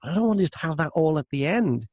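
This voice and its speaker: Higher-voiced man chatting about his work. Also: big pitch swings, fast talker, a little mellow, dry recording. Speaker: audiobook narrator and voice-over artist being interviewed. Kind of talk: casual interview chat, telling work anecdotes.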